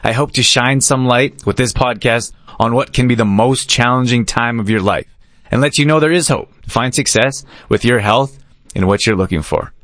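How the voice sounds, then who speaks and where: A man reading a podcast introduction in a smooth, even, scripted way as host. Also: medium pitch, moderate pace, no room sound, studio quality.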